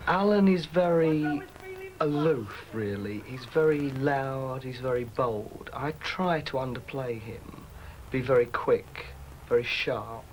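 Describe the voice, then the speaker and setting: A man's voice: mid-range voice, slow, slight background noise, rough recording. A man, a pantomime performer, talking earnestly in an interview about the craft. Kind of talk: interview talk.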